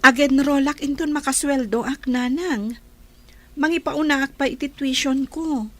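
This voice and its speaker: A woman's voice: high voice, a little slow, fairly bright tone, no room sound. A woman with an Ilocano accent playing a hopeful, dutiful young working student in a radio drama.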